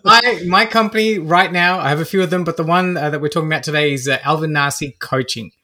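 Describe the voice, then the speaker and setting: Australian male business coach around forty, fairly high voice, very bright, forward tone, conversational guest delivery. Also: fast. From Australia (Melbourne), born in Fiji. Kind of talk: conversational interview answers.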